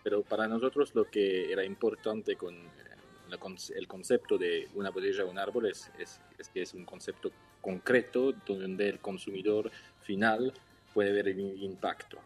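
A young Swiss man, a wine entrepreneur, answering interview questions in a careful, halting way as he searches for words in a language that is not his first. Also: deep voice, steady tone, soft background music. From Switzerland.

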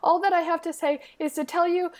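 Woman playing a very high-pitched, flustered amateur player. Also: flat delivery. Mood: exasperated. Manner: halting, gasping, stilted delivery. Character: nervous, flustered amateur actor playing the moon. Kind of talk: Shakespearean radio play acting.

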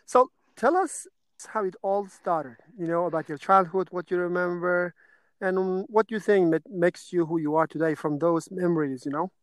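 A man's voice: high-pitched, dry recording, rough recording. A man hosting a podcast, upbeat and encouraging as he chats with and questions his guest. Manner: upbeat and encouraging, quick interjections. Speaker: podcast host. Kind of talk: casual interview.

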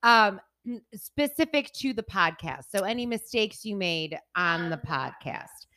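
A woman's voice: medium pitch, lively intonation, measured pace, sharp tone. A woman co-hosting a podcast in casual chat, playful and joking as she runs the segments. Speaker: podcast co-host.

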